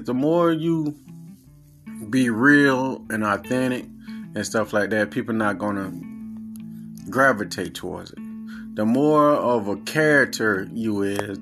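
A man's voice: medium pitch, fairly bright tone. A man in his forties with a Southern accent, a podcast host talking in a blunt, profane, earnest monologue. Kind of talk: casual monologue.

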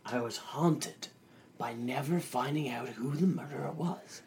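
A man's voice: medium pitch, unhurried, a crowd in the background. A man narrating as game master and speaking solemnly in character. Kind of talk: narrating a comedy roleplay game.

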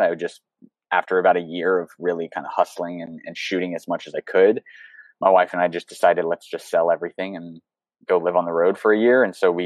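Man, young adult, very deep voice, dry sound, interview guest, video call, relaxed, rambling with fillers. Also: dry recording, rough recording. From United States. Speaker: guest, photographer and coach.

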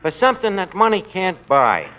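A pompous, word-mangling tavern boss. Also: male voice, sits high, huge pitch swings, fairly quick. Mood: philosophizing. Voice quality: mangles words, pompous wisecracks. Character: boastful, folksy middle-aged tavern manager. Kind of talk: 1940s radio comedy acting. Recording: muffled old radio broadcast with hiss.

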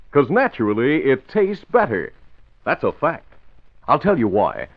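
A man reading scripted lines as a narrator and announcer in 1940s radio style. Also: mid-range voice. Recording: narrow lo-fi old radio recording.

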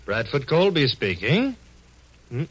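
Scheming ham villain, animated pitch, haughty affected speech, vintage radio crime drama. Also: male voice, slow pace, slightly rough, full character voice. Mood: smug, suave. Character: vain, scheming actor villain, indignant and oily. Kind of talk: 1940s radio detective drama acting. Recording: old radio broadcast recording.

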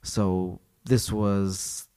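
A man co-hosting a podcast, chatting casually and reading a story aloud, animated and joking. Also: low-pitched, very slow, clean tone. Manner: animated, joking, laughs often. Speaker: podcast co-host.

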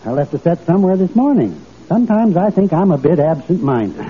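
Absent-minded professor, good-natured, dithering, slightly high pitch, dull old radio recording. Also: male voice, sing-song, brisk. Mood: genial, befuddled. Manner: dithering, genial. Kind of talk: old-time radio comedy acting. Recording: dull vintage radio, audience behind.

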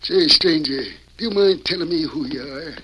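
An actor playing an excitable, folksy storekeeper with an American Western drawl in an old-time radio western, full of grumbling rustic talk. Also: male voice, fairly high pitch, normal speed. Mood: puzzled, grumbling. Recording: noisy old radio recording.

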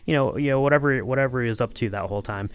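A man's voice: medium pitch, rapid-fire, clean tone. A man co-hosting a podcast in casual fan chat, with a rambling, hesitant way of talking. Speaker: podcast co-host.

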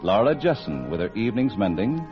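A man's voice: lowish voice, very animated, brisk. A man announcing and narrating in a formal, polished 1940s radio style, reading from a script. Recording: dull vintage radio broadcast recording. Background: loud music.

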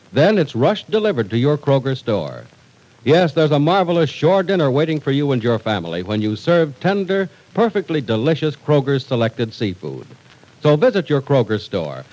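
A man's voice: medium pitch, a little mellow, rough recording. A man with an American accent, announcing in a polished, emphatic, scripted 1940s radio style. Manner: polished, emphatic sales delivery.

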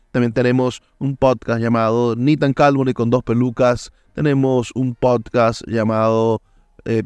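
Smooth-voiced man hosting. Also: mid-range voice, darkish tone, no echo, clean studio sound. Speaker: podcast host.

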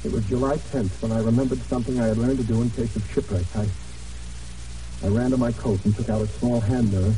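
An actor playing an earnest, plain-spoken American Navy man in hiding, narrating his ordeal with dramatic pauses in a 1940s radio drama. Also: medium pitch, fairly even intonation, warm tone, a little hiss or hum, natural voice. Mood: desperate, weary. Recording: dull, distant old radio recording.

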